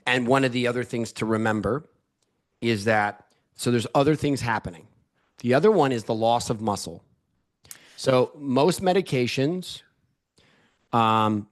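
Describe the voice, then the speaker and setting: A man speaking as an expert guest on a podcast, explaining things in an emphatic, teacherly way and checking that listeners follow. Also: medium pitch. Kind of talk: explanatory interview talk.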